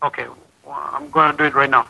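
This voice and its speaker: A man's voice: fairly high pitch, little pitch movement, fast, creaky, noisy background. A man in an unscripted phone conversation, hesitant and stammering, often repeating himself, heard over a telephone line. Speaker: prank call victim answering the phone at work.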